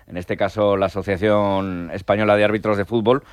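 A man reporting sports news on the radio, measured and agreeable, with many fillers and pauses. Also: fairly low pitch, level tone, clear, resonant tone, no echo.

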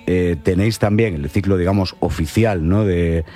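A man's voice: low voice, normal speed, dark tone. A man from Galicia hosting a casual radio interview, chatty and laughing along with his guest. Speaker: radio host.

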